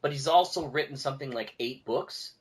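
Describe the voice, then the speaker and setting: A man's voice: mid-range voice, fairly quick. A man with a mid-range voice talks as a podcast guest in loose, conversational style. He is emphatic, often repeating a key word to drive it home.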